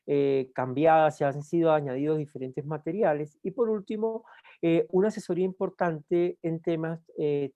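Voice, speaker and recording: A man from Cuba with a Cuban accent, lecturing at a university in an unhurried way, often pausing on "eh" and restarting words. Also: on the high side, dark tone, no room sound, dull audio. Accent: Cuban Spanish. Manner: unhurried with frequent "eh" fillers and restarts. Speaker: guest lecturer. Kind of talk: university talk.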